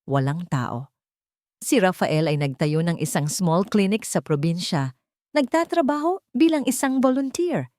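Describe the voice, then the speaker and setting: A woman's voice: fairly low pitch, a little mellow, dry recording, studio quality. A woman with a Filipino accent narrating a scripted first-person audio drama.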